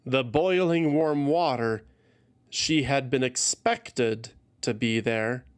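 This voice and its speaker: A man reading scripted fantasy fiction as a narrator, in a solemn, deliberate and dramatic way. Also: medium pitch, clear, resonant tone.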